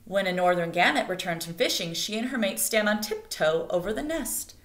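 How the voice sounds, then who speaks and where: A woman reads a nature picture book aloud as expressive narration meant for children. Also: mid-range voice, fairly quick. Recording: echoey room.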